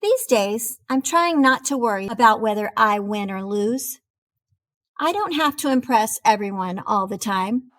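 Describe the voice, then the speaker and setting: A woman voicing a proud, dramatic wheel of cheddar in an expressive children's storybook read-aloud. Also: a little high. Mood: calm, reflective. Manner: theatrical, expressive, deliberate delivery.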